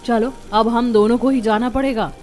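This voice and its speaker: A woman playing an overworked, aggrieved young daughter-in-law in a cartoon family drama, speaking in an expressive, complaining way. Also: a little high, moderate pace, soft background music, natural voice.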